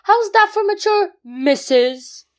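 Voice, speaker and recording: A woman's voice: very high-pitched, lively intonation, slow, heavily acted. A woman with an English accent playing a cheeky, cocky eleven-year-old boy detective in a student radio play.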